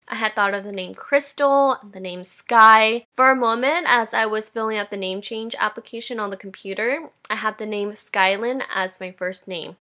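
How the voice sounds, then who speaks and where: A woman's voice: medium pitch, bright, forceful tone. A woman in her twenties hosting a personal podcast, telling her own story in a candid, reflective way. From Indonesia. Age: 20s. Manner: candid and reflective, with light laughs. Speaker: podcast host.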